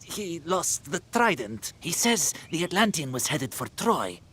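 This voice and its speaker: Raspy, sharp, servile henchman. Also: fairly high pitch, sing-song, measured pace, exaggerated voice. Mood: wary, reporting. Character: nervous, sinister henchman reporting to his master. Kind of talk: video game cutscene acting.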